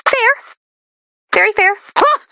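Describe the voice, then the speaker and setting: A woman voicing an excitable, anxious, sarcastic female robot helper in a video game, perky and dramatic in manner. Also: very high-pitched, slow pace, creaky, no room sound, lo-fi sound, exaggerated voice. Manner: perky, neurotic, self-deprecating, dramatic. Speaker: video game mission-giving sidekick. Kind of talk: animated video game voice acting.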